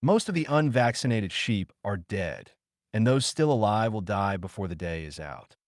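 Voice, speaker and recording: Man playing an official judge, formal, measured, varied intonation, dry audiobook sound. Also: lowish voice, very animated, no room sound. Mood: matter-of-fact.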